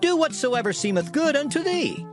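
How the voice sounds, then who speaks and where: A man's voice: very high-pitched, sing-song, moderate pace, music in the background. A man playing an outspoken, loyal Israelite in a dramatized scripture recording, declaiming emphatically. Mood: deferential.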